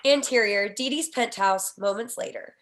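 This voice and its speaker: Higher-pitched woman reading stage directions. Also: normal speed, a bit bright. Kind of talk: scripted narration of screenplay directions.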